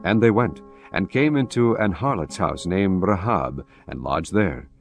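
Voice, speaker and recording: A man narrating scripture in a solemn, measured way. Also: sits low, animated, creaky, faint music behind.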